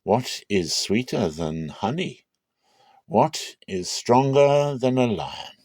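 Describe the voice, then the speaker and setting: A man's voice: medium pitch, big pitch swings, slow, darkish tone, husky, dry recording, studio quality, voice pushed up, heavily acted. Menacing, pointed Philistine townsman, acted by a man. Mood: taunting.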